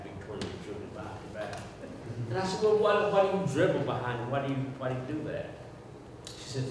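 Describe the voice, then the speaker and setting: An older man speaks at a memorial gathering in a rambling, informal way, often restarting his sentences. He is heard from a distance in a strongly echoing hall. There is steady background noise under his voice. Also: lowish voice. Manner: rambling, with frequent restarts and repeats. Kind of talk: informal spoken remembrance. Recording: echoey hall from far off, with clear background noise.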